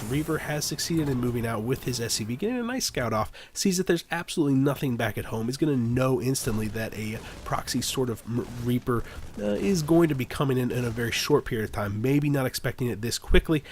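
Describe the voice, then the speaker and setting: A man recovering from the flu, his nose badly stuffed up and his voice nasal. He talks through a game replay in a loose, rambling way, full of small fillers, at a middling pitch. A faint hiss of noise sits under his voice. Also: fast, a little mellow. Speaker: game caster. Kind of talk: casual game commentary.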